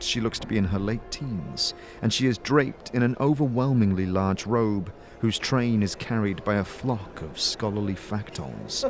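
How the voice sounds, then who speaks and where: A man giving dramatic scripted narration as the narrator of a fantasy audio drama. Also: lowish voice.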